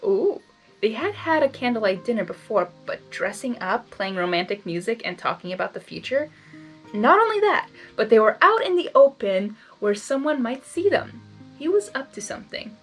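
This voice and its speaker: A high-voiced woman reads fan fiction aloud in an animated way. She is giggly and self-deprecating, stumbling over words and slipping into casual asides. Music plays behind her. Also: moderate pace. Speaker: amateur fan fiction reader. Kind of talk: blind reading of a story aloud with casual asides.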